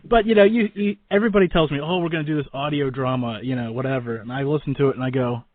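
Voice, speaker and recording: A man's voice: mid-range voice, fast talker, no room sound. A man hosting a casual interview, speaking informally and effusively in a rambling way, with narrow phone-like audio. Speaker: radio show host. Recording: narrow phone-like radio sound, dry.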